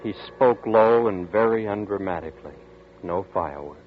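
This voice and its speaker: A man's voice: lowish voice, animated, moderate pace, a little hiss or hum, natural voice. An actor in a 1940s radio drama narrating as a nervous, guilt-ridden man, with a tense, brooding delivery. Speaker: narrator and character Vince. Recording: tinny old radio broadcast with music.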